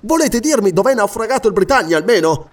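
Man voicing a stern, insistent gentleman, animated pitch. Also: fast talker, recorded from a distance. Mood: urgent appeal. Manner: formal, insistent, pleading for answers. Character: stern, earnest gentleman lord questioning a prisoner. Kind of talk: dramatic audiobook character reading.

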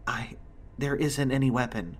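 A scared little girl in a horror story, acted out by a male narrator, whimpering and pleading. The voice is hushed and breathy, with halting, fearful phrasing. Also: medium pitch, steady tone, lightly acted. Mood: hesitant, muttering. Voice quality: whispery, breathy. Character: frightened sobbing young girl caller, pleading in whimpers.

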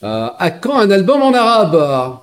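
A man's voice: sits high, big pitch swings, unhurried. A man interviewing a musician, asking long rambling questions full of fillers. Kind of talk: casual interview.

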